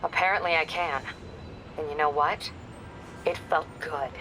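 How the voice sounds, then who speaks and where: An actress playing a hardened, defiant female vigilante in a video game, with a cold, deliberate delivery. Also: deep voice, steady tone, noisy background.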